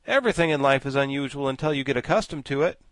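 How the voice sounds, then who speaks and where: A man's voice: medium pitch, lively intonation, quick pace, dry recording, close to an everyday voice. A man voicing a genial, slightly vain male scarecrow. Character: proud straw-stuffed male scarecrow.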